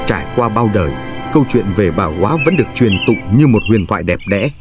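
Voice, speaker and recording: Low-pitched male storyteller over music. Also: expressive, a little fast. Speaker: storyteller narrator. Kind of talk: scripted folk-tale narration. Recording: music bed under the voice.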